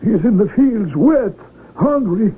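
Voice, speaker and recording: A man playing a grave, kindly old village leader and father in a radio drama, speaking in a measured, solemn way with a husky voice. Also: high-pitched, lively intonation, heavily acted. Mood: concerned, solemn. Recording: dull lo-fi 1970s radio recording.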